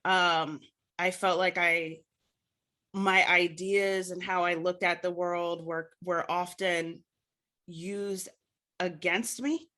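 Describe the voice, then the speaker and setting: Bright-voiced American woman, earnest and articulate. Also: lowish voice, fairly even intonation, slow pace. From United States. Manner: earnest, articulate, proper diction. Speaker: podcast guest, literature scholar. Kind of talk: reflective personal storytelling in a conversational interview.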